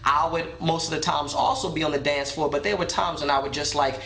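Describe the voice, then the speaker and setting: A young man telling a personal story in a soft, reflective way, with many pauses and fillers. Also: on the high side, fairly even intonation, echoey room.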